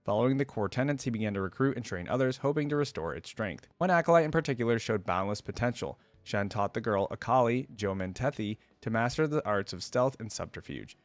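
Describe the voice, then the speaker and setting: A man's voice: medium pitch, animated, fairly quick, few pauses, no room sound. A man hosting a reaction video, talking and reading aloud in a casual, conversational way. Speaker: YouTube reaction host. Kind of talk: casual reaction commentary with passages read aloud.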